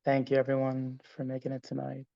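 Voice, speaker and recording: A man hosting a live guided meditation over a video call, speaking slowly and softly. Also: mid-range voice, monotone, vocal fry, no room sound, lo-fi sound. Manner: slow, soft, soothing delivery. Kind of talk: guided meditation, then casual chat.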